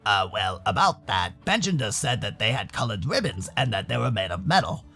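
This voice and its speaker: A man's voice: fairly low pitch, big pitch swings, fairly quick, bright, forceful tone, breathy edge, faint music behind, voice pushed down, full character voice. An American man voicing a laid-back furry animal character who hesitantly explains things to his friends in a visual novel. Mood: hesitant, patient.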